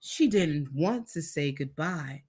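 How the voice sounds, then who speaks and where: Female storyteller, lively and varied intonation, expressive read-aloud for young children, acts out dialogue. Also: sits low, slow pace, slightly rough. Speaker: storytime reader.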